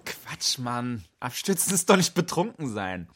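A man's voice: mid-range voice, very animated, measured pace, sharp tone, slight background noise. Well-read guy in a radio play, chatty explainer, good-natured, voice goes up and down a lot. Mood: casual. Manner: explanatory, colloquial. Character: Friedrich, articulate, well-read man, good-natured debater. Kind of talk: radio play narration and dialogue.

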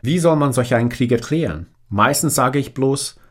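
A man's voice: medium pitch, lively intonation, normal speed. A man in his forties from Bosnia with a strong Bosnian accent, reading his own memoir as an audiobook in a direct, plain way.

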